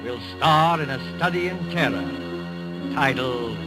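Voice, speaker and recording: A man giving formal, measured, ominous scripted narration as the announcer of a 1940s radio show. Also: medium pitch. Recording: old radio broadcast with music.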